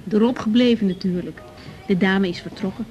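A woman's voice: mid-range voice, fairly quick, warm tone, dull audio, soft background music, lightly acted. A young woman with a Dutch accent from the Netherlands playing a frank, easygoing doctor in a naturalistic radio drama. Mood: frank, reassuring. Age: young adult.

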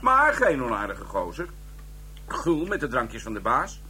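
Wry detective, bright-voiced. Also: male voice, mid-range voice, wildly swooping pitch, unhurried, a little hiss or hum, full character voice. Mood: wry, offhand. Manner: offhand, sardonic. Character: wry, colloquial male police detective reporting findings. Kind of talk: Dutch radio drama acting.

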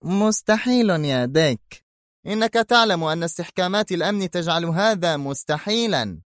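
A man's voice: mid-range voice, big pitch swings, fairly quick, no room sound, studio quality, pitched above the performer's own voice, lightly acted. A man voicing a fidgety, nervous associate who speaks in a tense, edgy way.

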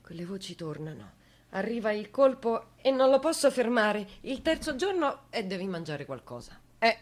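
An actress playing a grief-stricken woman in a radio theatre monologue, speaking in fragmented, repetitive phrases that move between hushed and insistent. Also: medium pitch, sing-song, normal speed. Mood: insistent, agitated. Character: grief-stricken woman reliving days after a loss.

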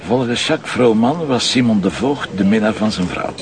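An actor playing a wry, stubborn police commissioner in a radio drama, with a Flemish accent and dry, colloquial banter. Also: male voice, on the low side, expressive, moderate pace, crowd noise, close to an everyday voice. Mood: wry, puzzled.

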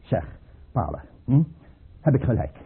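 A man playing a suspicious Russian emperor in a period radio play, erratic and husky, swinging from muttered questions to shrill commands. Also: big pitch swings, a little slow, heavily acted. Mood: insistent, confiding. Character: volatile, suspicious Russian emperor, imperious yet pleading. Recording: muffled, tinny old radio recording.

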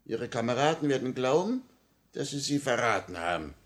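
A man's voice: mid-range voice, big pitch swings, normal speed, close to an everyday voice. A man acting a smugly courteous, manipulative police minister with mocking politeness in a 1950 radio play. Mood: smooth menace. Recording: vintage 1950 radio recording.

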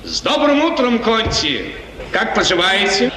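Restless prince, swooping theatrical voice. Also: male voice, high-pitched, sing-song, a little slow, sharp tone, heavily acted. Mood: animated, gracious. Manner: theatrical, musing aloud, repeats phrases. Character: restless lovesick prince of a small feudal state. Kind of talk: 1950s Russian radio theatre acting. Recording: old noisy recording, echoey hall, distant.

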